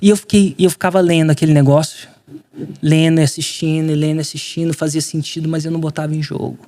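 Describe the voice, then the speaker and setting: Man from brazil, podcast guest, lively storytelling, slangy, slightly higher voice. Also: quick pace. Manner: animated, slangy, quotes himself and others. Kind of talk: storytelling in casual conversation.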